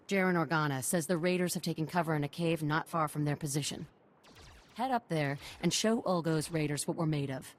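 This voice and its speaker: An actress playing a battle-weary but encouraging military officer in a video game, speaking in an informal, direct way. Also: female voice, on the low side, lightly acted.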